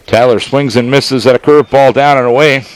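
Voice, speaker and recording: A man with an American accent giving live baseball play-by-play on the radio, in measured, descriptive phrases with pauses between them. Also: mid-range voice, fairly even intonation. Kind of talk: live sports play-by-play commentary.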